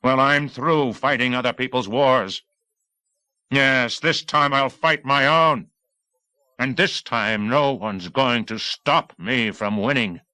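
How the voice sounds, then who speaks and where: A man narrating an audiobook as an embittered, vengeful commando veteran, speaking in a brooding, defiant, deliberate way. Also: mid-range voice, sharp tone, clear, resonant tone, dry recording, voice pushed up. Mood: defiant anger. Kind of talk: dramatic audiobook reading.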